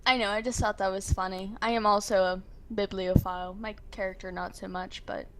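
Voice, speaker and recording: A woman's voice: medium pitch, little pitch movement, brisk, a bit bright. A woman bantering casually as a player in an online roleplay group chat. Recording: faint noise on voice chat.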